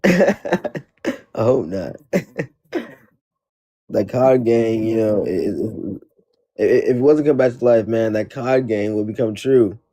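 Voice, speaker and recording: Low mellow-voiced guy hosting, goofy and rambly, keeps repeating himself. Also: lively intonation, takes it slowly, pauses often. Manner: joking, rambling, repetitive. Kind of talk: casual chat.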